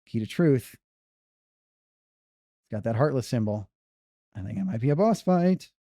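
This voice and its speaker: Male streamer, casual speedrun commentary, warm low tone, dry. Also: animated, unhurried, dry recording. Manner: rambling, self-correcting banter.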